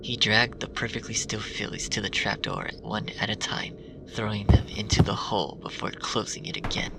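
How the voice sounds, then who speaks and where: A man reading a dark fan story aloud in a hushed, half-whispered way. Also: on the low side, flat delivery, moderate pace, vocal fry, heavy background noise. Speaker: fanfiction reader. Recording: distant mic with music bed.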